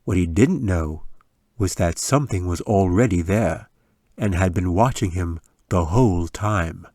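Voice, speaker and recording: A man's voice: deep voice, unhurried, mellow, no echo, studio quality. A man reading a fantasy audiobook as a scripted story narration. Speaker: author reading own audiobook.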